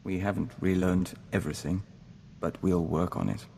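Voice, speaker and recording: An actor playing a gentle, earnest man joined to an insect hive mind, measured and formal in scripted game dialogue. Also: low-pitched, fairly even intonation, creaky. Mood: soft, sincere. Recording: noisy game capture with long reverb.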